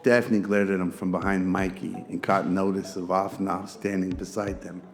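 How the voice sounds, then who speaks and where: A man's voice: low voice, little pitch movement, moderate pace, faint music behind. A man narrating a scripted audio drama.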